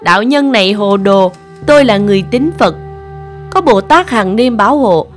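A woman playing a naive, devout young rich gentleman, earnest and indignant, in an animated folk tale. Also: mid-range voice, normal speed, faint music behind, lightly acted.

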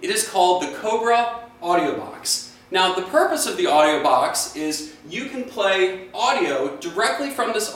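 A middle-aged man giving an instructional product demonstration in a deliberate, explanatory way. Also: on the high side, fairly bright tone, rough recording. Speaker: product presenter.

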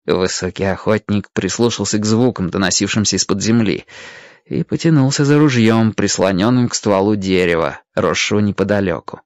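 A man narrating a dramatized story as an expressive storyteller. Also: lowish voice, moderate pace, no room sound, dull audio.

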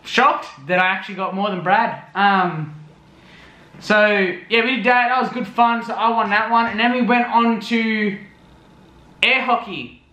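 Young guy, vlog voice-over, high and bright, rambling, roomy echo. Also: unhurried. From Australia. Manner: rambling, lots of fillers. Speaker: vlogger. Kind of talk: casual voice-over recap.

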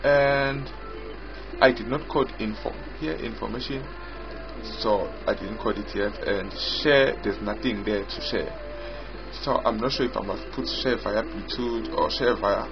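Guy doing a screen demo of his app, kinda halting and repetitive, muffled lo-fi sound, music loud behind him. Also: on the low side. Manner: halting, repetitive explanations in short phrases. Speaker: software developer demonstrating own app. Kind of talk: unscripted screen-recording software walkthrough. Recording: dull lo-fi audio with loud music.

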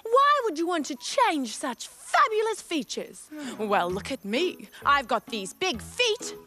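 A kindly old elephant, acted by a woman, speaks slowly and grandly, encouraging her visitors. The voice is very high and bright and swoops widely, with soft music behind. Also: very animated, full character voice. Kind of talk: children's storybook acting.

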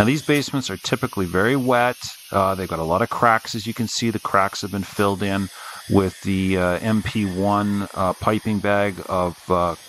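A man's voice: on the low side, a bit bright, slight background noise. A man, a spray foam contractor, giving a plain-spoken voice-over about a basement job with frequent filler words.

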